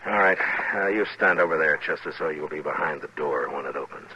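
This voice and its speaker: Stern frontier marshal, very low register, terse and deliberate, vintage radio drama sound. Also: male voice, bright, forceful tone, a little hiss or hum, heavily acted. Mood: terse, steady. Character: stern, steady adult male frontier marshal. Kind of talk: 1950s western radio drama acting and narration. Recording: muffled vintage radio recording with crowd murmur.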